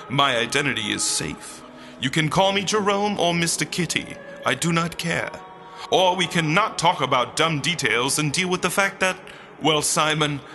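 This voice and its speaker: A man playing a holy being of light in a comic audio drama, booming and declamatory, with flippant, teasing asides. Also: sharp tone. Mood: preachy, teasing. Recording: music behind the voice.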